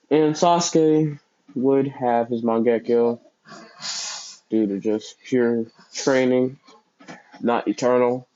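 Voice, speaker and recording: A young man casually telling a fan fiction story out loud, rambling and correcting himself as he goes. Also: mid-range voice, dull audio. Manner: rambling, self-correcting, many fillers.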